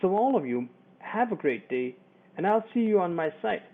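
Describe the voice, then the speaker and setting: A Danish-accented man presents an informal software walkthrough with a slightly high voice. He explains things haltingly and hesitantly. The sound is narrow and lo-fi, like a poor line. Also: big pitch swings. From Denmark. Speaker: product reviewer and presenter. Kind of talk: informal screencast tutorial and promotional presentation. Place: voice-over for screen recording.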